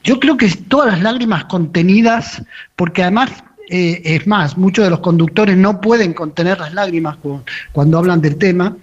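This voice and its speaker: An Argentine man with a notably high voice reports as a radio correspondent. He speaks without a script, often repeating phrases while he finds the next word. The remote link sounds dull and narrow. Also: moderate pace. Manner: hesitant, repeats phrases as he searches for words. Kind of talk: unscripted live radio report.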